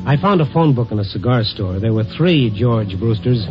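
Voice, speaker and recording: A hard-boiled reporter, played by a man narrates in a dry, laconic, wisecracking voice at a middle pitch. The old radio-play recording is muffled, with music clearly audible behind him. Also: expressive, moderate pace, close to an everyday voice. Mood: narrating. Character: wry, hard-boiled male newspaper reporter. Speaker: narrator and lead. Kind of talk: 1950s radio drama narration.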